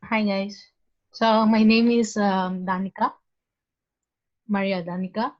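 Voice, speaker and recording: A nineteen-year-old woman talks slowly and hesitantly as she introduces herself, searching for words. The recording has a dull, lo-fi quality. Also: mid-range voice, steady tone. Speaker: guest, business student. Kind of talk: casual self-introduction. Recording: dull lo-fi sound.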